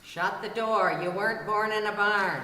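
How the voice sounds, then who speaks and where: A woman's voice: medium pitch, fairly even intonation, normal speed, bright, forceful tone. A woman reciting short sayings as part of a theatrical readers theater group. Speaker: readers theater performer at church. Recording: echoey church hall, faint noise.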